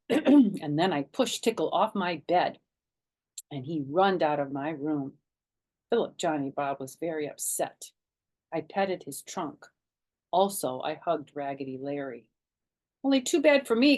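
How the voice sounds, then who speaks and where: A woman reading a children's chapter book aloud as a storytime narrator, animated and dramatic in her telling. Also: on the low side.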